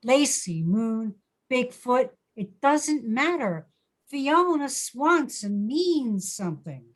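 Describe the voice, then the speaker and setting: A woman's voice: a little high, big pitch swings, takes it slowly, full character voice. A woman acting an earnest, concerned friend in a screenplay table read, emphatic and pleading.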